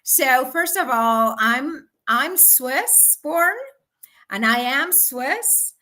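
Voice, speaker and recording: A middle-aged woman from Colombia, a guest consultant in a live-streamed interview, speaking thoughtfully and pausing often with fillers. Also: sits high, animated, sharp tone.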